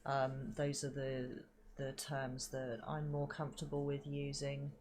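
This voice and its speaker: A woman's voice: very deep voice, monotone, a little slow, a little mellow. A woman giving a rambling spiritual reading with many fillers and casual swearing, sounding stuffy and sniffly from hay fever. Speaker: spiritual reader.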